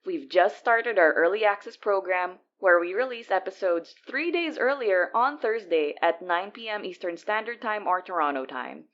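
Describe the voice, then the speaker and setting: A woman's voice: medium pitch, fairly bright tone, dull audio. A woman reading a scripted podcast outro as co-producer, with credits and announcements for listeners.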